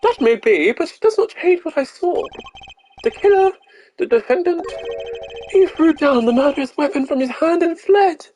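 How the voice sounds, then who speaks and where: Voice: high voice, animated, normal speed, a little mellow, pitched above the performer's own voice, rough recording. An amateur performer voicing a haughty, fussy young male university student with verbose, theatrical delivery, as a video game witness. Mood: pompous insistence. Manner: pompous, verbose, theatrical speech. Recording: game music behind.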